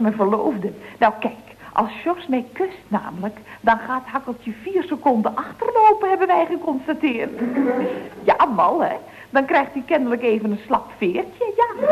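High, swooping, scatterbrained office girl. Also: big pitch swings, measured pace, heavily acted. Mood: scatterbrained, amused. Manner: chirpy, rambling, exclaiming. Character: chatty, scatterbrained young female office telephonist. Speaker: actress in a radio comedy. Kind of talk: 1970s radio comedy acting. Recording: dull vintage radio recording.

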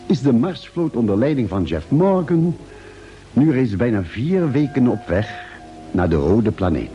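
An actor playing a grave, factual ship's doctor who narrates a space radio serial in measured, solemn, formal speech. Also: male voice, mid-range voice, big pitch swings, warm tone, dull audio, soft background music. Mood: grave, suspenseful. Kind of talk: dramatic radio play narration.

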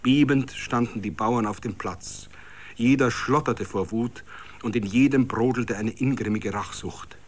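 A man's voice: medium pitch, a little slow, husky. A man with a Bavarian accent reads a literary story as dramatic scripted narration.

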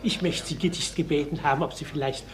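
An actor in a German radio play playing a meek, servile poor weaver who begs haltingly and deferentially. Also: male voice, on the high side, steady tone, close to an everyday voice. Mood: meek begging.

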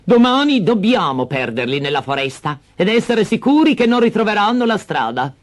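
A man doing a shrill, harsh stepmother. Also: big pitch swings, normal speed. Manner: sharp, scolding and impatient. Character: harsh, bossy, cruel stepmother.

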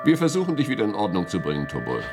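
An actor playing a commanding, world-weary head of internal security in a German radio drama, forceful and deliberate in argument. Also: male voice, fairly low pitch, huge pitch swings, music in the background. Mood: coaxing.

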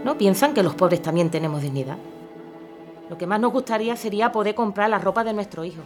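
A woman's voice: mid-range voice, animated, fast talker, music in the background, natural voice. An actress playing a proud, poor young mother in an audio drama, speaking with earnest dignity. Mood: proud indignation.

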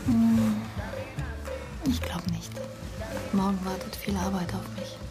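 Slow, seductive actress as a lover over music. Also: female voice, mid-range voice, fairly even intonation, natural voice. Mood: intimate, reluctant. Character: seductive, teasing woman, the detective's lover. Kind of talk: German radio crime drama acting.

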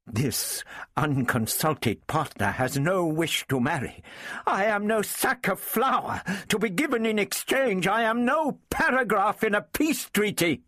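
A man's voice: medium pitch, expressive, takes it slowly, fairly bright tone, slightly rough, no room sound, studio quality, pitched above the performer's own voice, full character voice. A man voicing a furious, defiant young princess in a dramatised storybook reading, impassioned and scornful. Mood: indignant. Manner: impassioned, scornful, crackling with emotion.

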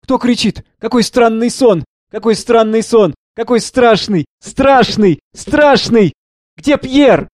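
A man's voice: high voice, normal speed, a little mellow, dry recording, clean studio sound, voice pushed up, lightly acted. A man voicing an anguished, bewildered mother in a stage drama, pleading and repeating herself frantically. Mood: terrified, pleading. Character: anguished grieving mother, losing her grip on reality, pleading.

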